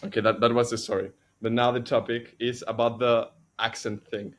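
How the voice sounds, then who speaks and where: Chilean man telling a story, halting. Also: mid-range voice, little pitch movement. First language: Spanish. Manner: halting, many restarts and fillers. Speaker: podcast co-host. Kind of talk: casual storytelling.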